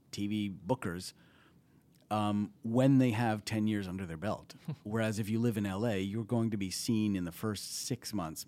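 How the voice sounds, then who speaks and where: A Canadian man talking shop on a podcast in a fairly low voice. He rambles loosely, circles back on his points and often lets his sentences trail away. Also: a little mellow. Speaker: comedy festival TV producer, guest. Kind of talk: casual podcast conversation.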